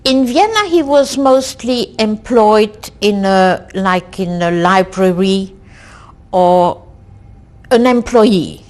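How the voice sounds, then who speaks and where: A woman in her sixties from Belgium, recalling her family in an oral history interview, slow and reflective with frequent hesitations. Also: medium pitch, animated, darkish tone. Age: 60s. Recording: archival 1990s interview tape.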